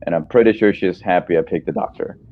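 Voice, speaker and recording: Very deep-voiced man in his thirties. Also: fast talker, phone-quality sound, a little hiss or hum. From El Salvador. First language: Spanish. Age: 30s. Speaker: guest, breast cancer surgeon. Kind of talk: casual conversational interview answers.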